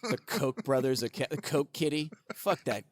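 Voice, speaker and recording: A man's voice: medium pitch, animated, no room sound, studio quality. A man co-hosting a comedy podcast, rambling and swearing, breaking into laughs between riffs. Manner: profane, rambling, quick to laugh. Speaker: podcast co-host. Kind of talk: loose comedy podcast banter.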